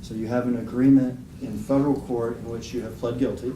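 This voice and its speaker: Guy lawyer asking short yes-or-no questions, pretty monotone, sounds far off in a roomy courtroom. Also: medium pitch. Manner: measured, leading yes-or-no questions. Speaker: attorney questioning a witness. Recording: echoey courtroom audio from far off, hissy.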